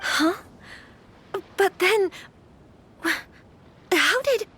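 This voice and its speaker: A woman's voice: very high-pitched, lively intonation, very slow, a bit bright, exaggerated voice. An actress playing a polite, earnest, troubled young noblewoman in a video game, refined and hesitant. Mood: startled. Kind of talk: English video game dub acting.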